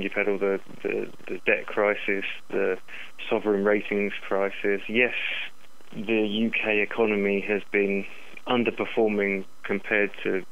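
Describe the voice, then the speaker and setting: A man giving market commentary as an analyst in a phone interview, halting and repeating words, over a dull telephone line. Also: fairly low pitch, gravelly, a bit bright. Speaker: currency analyst, interview guest.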